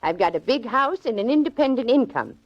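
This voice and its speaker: An actress playing an eccentric, plucky older woman in a 1940s American radio drama, brisk, prim and forthright. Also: on the high side, sing-song, no room sound. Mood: brisk, testy. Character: brisk, no-nonsense old spinster lady, eccentric and plucky. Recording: dull, band-limited vintage radio recording.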